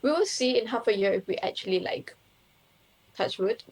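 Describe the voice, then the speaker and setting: A woman's voice: on the high side, expressive, normal speed, darkish tone. A young woman co-hosting a podcast, chatting casually and earnestly and laughing easily. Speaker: podcast co-host. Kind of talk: casual chat.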